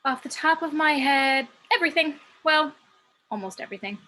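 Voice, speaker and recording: Woman playing bright, sassy, high-voiced muse. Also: animated, moderate pace, rough recording. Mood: boastful, wry. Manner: sardonic, brash. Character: sassy, cocky female muse working as a stripper. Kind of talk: amateur table read of a film script.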